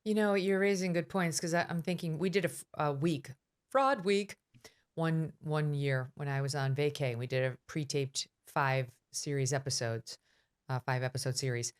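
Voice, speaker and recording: A middle-aged woman hosting a podcast interview, telling stories in a quick, animated way. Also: low voice, smooth. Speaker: host.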